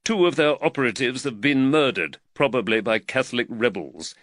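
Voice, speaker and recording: An earnest magistrate who frets over money, put on by a man reading the story aloud. He speaks a notch higher and louder than the narration, leaning hard on his points with a lively, swinging pitch. The recording is dry and close, with no room around it. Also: normal speed, dry recording, natural voice. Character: earnest, fretful male London magistrate, money-worried. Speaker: magistrate and employer.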